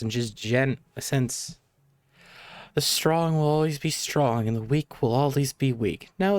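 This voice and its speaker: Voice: medium pitch, dry recording. Sweary, exasperated game streamer, dry close mic. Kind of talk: live gameplay commentary, casual.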